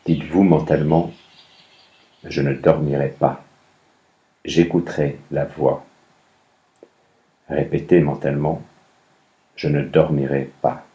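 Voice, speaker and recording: Very deep, slow male meditation guide. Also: muffled recording. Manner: calm, measured, with long pauses. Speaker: yoga nidra meditation guide.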